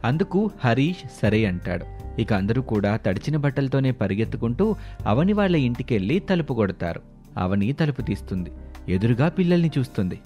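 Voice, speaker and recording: A man's voice: mid-range voice, sing-song, a little fast, dark tone, soft background music. A man reading scripted narration of a family moral story as the storyteller.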